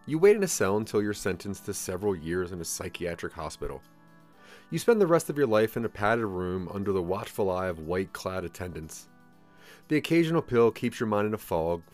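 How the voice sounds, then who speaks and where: A man reads second-person dramatic narration in a fairly low voice. His intonation is animated and theatrical, with an ominous storytelling feel. Soft music sits behind him. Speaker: narrator of an interactive audio drama.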